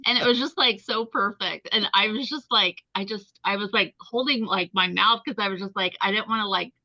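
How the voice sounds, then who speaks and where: A woman's voice: mid-range voice, brisk, pushed and bright, no room sound. A woman chatting casually and informally in a group discussion, with a giggly way of talking. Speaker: table read participant. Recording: dull, lo-fi audio.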